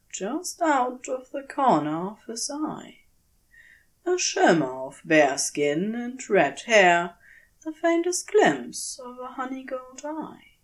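A woman reading a story aloud as a scripted fiction narration, with measured, expressive storytelling. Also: medium pitch. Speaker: podfic narrator.

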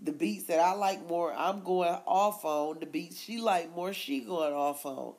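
A woman's voice: on the low side, a little slow, darkish tone. A woman, co-hosting a podcast in loose, slangy chat and laughing often. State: stuffy nose. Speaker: podcast co-host. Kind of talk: casual chat.

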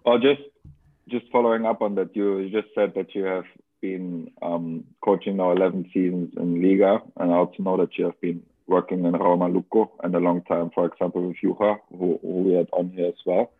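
A man co-hosting a podcast, welcoming a guest and asking measured, slightly formal questions. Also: low voice, flat delivery. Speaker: podcast co-host. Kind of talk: podcast interview. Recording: tinny phone-like audio.

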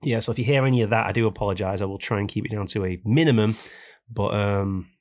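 A man hosting a casual podcast, hoarse and raspy from a cold, ranting jokily about things that annoy him. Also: fairly low pitch, fast, a little mellow. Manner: jokey, complaining rants. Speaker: podcast host.

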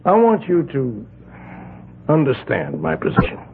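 A blustering small-town tycoon, raspy, lively delivery, narrow lo-fi radio. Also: male voice, fairly high pitch, measured pace, a little hiss or hum, heavily acted. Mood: pleading. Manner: blustering, swings from soft to loud. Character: domineering rich male mill owner, vigilante leader. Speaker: vigilante group leader. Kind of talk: 1970s radio drama acting. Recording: narrow-band old radio broadcast.

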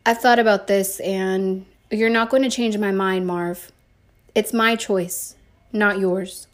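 Woman playing teenage boyfriend, stubborn, lowered pitch, resolute, flat delivery, storybook dialogue. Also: takes it slowly, close to an everyday voice.

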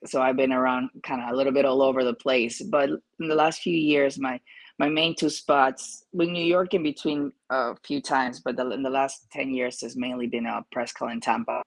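A man from Peru with a Peruvian Spanish accent, giving casual interview answers as a jockey, haltingly searching for phrasing. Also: mid-range voice, steady tone.

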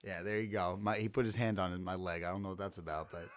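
A man's voice: sits low, steady tone, quick pace. A man with a scratchy throat, hosting a casual sports podcast and rambling with many restarts. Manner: rambling, self-interrupting. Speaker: podcast host.

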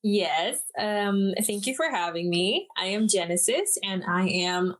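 A young woman from Bonaire, a podcast guest, speaking thoughtfully with pauses. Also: mid-range voice, expressive. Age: young adult. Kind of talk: casual podcast conversation.

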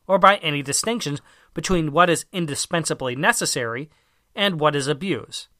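A man reading a formal essay as an audiobook narrator, with a measured, emphatic delivery. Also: fairly high pitch, pushed and bright, no echo, studio quality. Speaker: audiobook reader.

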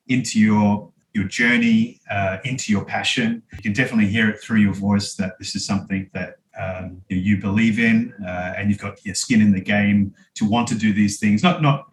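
A man's voice: on the low side, steady tone, moderate pace, few pauses. A man hosting a podcast conversationally, appreciative, with hesitant phrasing and repeated words. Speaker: podcast host. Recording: roomy echo, faint background noise.

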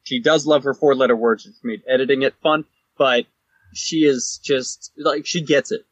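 A man co-hosting a podcast, talking casually and pausing often as he finds his words. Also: mid-range voice, fairly even intonation, no echo, lo-fi sound. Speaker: podcast co-host.

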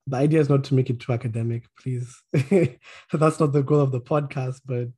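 Soft-toned male podcast host. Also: mid-range voice, fairly even intonation, breathy edge. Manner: measured, introduces guests politely. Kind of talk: hosting a panel discussion.

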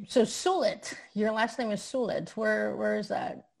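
A woman's voice: medium pitch, a little slow, creaky. A woman co-hosting an interview podcast, introducing and questioning a guest with some hesitant fillers. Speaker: podcast co-host.

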